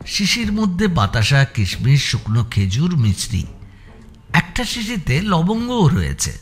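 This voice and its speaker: Lively male suspense story narrator. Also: mid-range voice. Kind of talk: scripted suspense audiobook narration.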